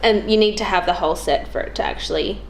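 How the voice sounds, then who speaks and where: A woman's voice: mid-range voice, fast talker. A young woman chatting casually about video games as a guest on a show. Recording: clearly noisy background.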